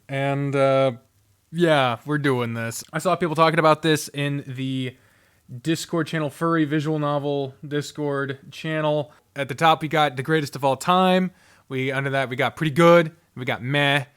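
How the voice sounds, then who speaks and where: A man's voice: a little high, fairly even intonation, moderate pace, a bit bright, smooth. A man giving casual, rambling ranking commentary, thinking aloud and swearing freely in an informal way. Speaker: host.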